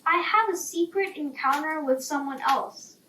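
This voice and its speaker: A woman's voice: high-pitched, unhurried, pushed and bright, pitched above the performer's own voice. A woman voicing a secretive, worried, blustering male elephant in a children's bedtime story. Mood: insistent. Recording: roomy, distant, faint background noise.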